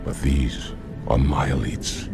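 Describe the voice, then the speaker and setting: An extremely deep, gruff alien commander. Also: male voice, level tone, slow pace, loud music behind the voice, full character voice. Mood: cold, scornful. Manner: terse, disdainful. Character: gruff, hardened alien warrior commander, scornful. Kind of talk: video game cutscene acting.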